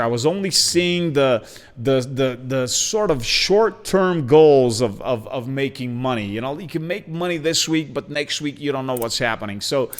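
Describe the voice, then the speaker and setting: A man in his forties from Bulgaria, hosting a podcast and introducing himself in an energetic, talkative way. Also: medium pitch. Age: 40s. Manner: energetic, talkative, with frequent fillers and restarts. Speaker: podcast host. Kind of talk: casual self-introduction monologue. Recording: long hall reverb.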